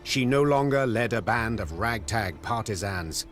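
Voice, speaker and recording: A man playing a solemn chronicler, narrating a fantasy video game in a grave, deliberate storybook manner. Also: lowish voice, expressive, fairly bright tone, soft background music. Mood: solemn, epic. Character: solemn male chronicler of a war saga.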